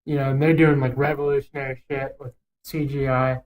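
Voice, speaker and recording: Young podcast host just chatting, sweary n emphatic, rambles thru opinions, medium pitch. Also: level tone, fairly quick, a little mellow, poor audio. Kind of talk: casual opinionated banter.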